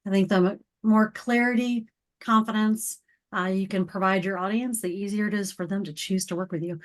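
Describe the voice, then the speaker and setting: A woman's voice: mid-range voice, steady tone, brisk. A woman with a mid-range voice hosts a weekly business meeting online. She sounds upbeat and organized as she walks people through how things work, over a video call. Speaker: meeting host. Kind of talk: hosting a virtual meeting.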